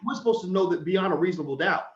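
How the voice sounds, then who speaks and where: A man's voice: high-pitched, rapid-fire, slight background noise. A man hosting an opinionated commentary talk show, blunt and heated, often restarting his sentences. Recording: echoey room, dull lo-fi sound.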